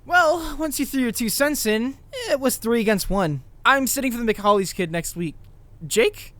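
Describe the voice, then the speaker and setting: A man's voice: very high-pitched, expressive, normal speed, fairly bright tone, big-room sound. A man playing an earnest, intense teenage boy who muses about fate in a comic dub. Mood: casual, friendly. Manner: earnest, musing, introspective. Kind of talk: comic dub acting with first-person narration.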